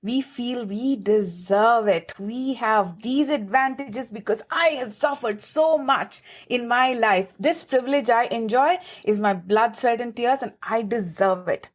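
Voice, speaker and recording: Indian lady guest on a podcast, kinda high voice, earnest, really leans on her points. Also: unhurried, few pauses. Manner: earnest, emphatic, lively explaining. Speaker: guest, lawyer and advisor. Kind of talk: podcast interview.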